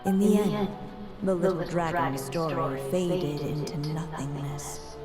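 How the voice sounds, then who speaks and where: An actress narrating a storybook tale in a solemn, measured manner for a game. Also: female voice, deep voice. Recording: echoing voice over loud game music.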